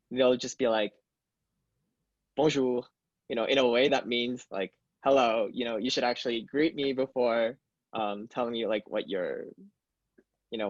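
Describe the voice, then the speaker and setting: A man's voice: mid-range voice, dry recording. Young man, from Canada, hesitant casual chat, muffled dry call audio. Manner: hesitant, many fillers and restarts. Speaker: video call participant. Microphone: video call.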